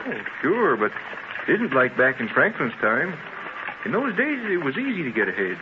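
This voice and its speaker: Teasing young lad, sceptical, lively swooping pitch, old radio drama, muffled noisy 1930s sound. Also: male voice, moderate pace. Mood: doubtful, teasing. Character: young messenger boy, doubtful, teasing, grumbling pal.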